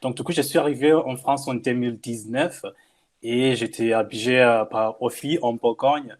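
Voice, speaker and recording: Halting man with a Zimbabwean English accent. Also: medium pitch, fairly even intonation. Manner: halting non-native French with repetitions. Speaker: panel guest, former mentee. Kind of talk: personal testimonial.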